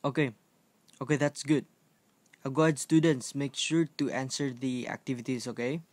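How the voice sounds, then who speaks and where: A man's voice: medium pitch, steady tone, normal speed, a little mellow, natural voice. A man with a Filipino accent playing an earnest, courteous teacher who formally instructs an online class in an amateur radio play. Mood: calm, concerned. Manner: formal, instructive, checking with "okay?".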